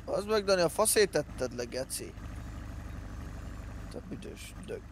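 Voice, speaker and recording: A man's voice: a little high, lively intonation. A man bantering casually and crudely while playing a game. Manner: informal, foul-mouthed. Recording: clear car engine noise behind.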